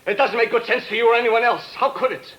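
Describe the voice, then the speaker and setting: An actor playing an unhinged, grandiose criminal in a 1950s radio drama, coldly polite yet prone to bitter ranting. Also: male voice, very high-pitched, quick pace, lo-fi sound. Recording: old 1950s radio broadcast recording.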